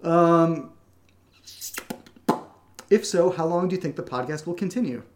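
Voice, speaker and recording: A man's voice: a little high, measured pace. A young man co-hosting a podcast in a casual, unscripted question-and-answer chat, with a playful, joking, informal manner. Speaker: podcast co-host and creator.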